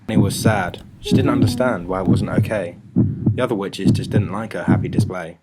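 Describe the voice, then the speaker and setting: A man narrating a rhyming children's story in a measured, scripted storybook delivery. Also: deep voice, heavy background noise. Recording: music bed under the voice. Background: music.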